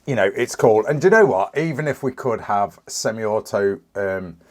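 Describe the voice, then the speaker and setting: A British man in his thirties, a little croaky from a cold, casually reviewing a rifle with many fillers and restarts. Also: on the low side, expressive, normal speed. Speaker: firearms reviewer.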